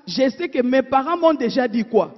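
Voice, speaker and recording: Woman preacher, husky and high, rhetorical questions, loud then hushed; muffled church hall echo. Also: animated, moderate pace. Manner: asks the congregation rhetorical questions; swings between emphatic and hushed. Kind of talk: sermon. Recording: dull sound in a reverberant church hall.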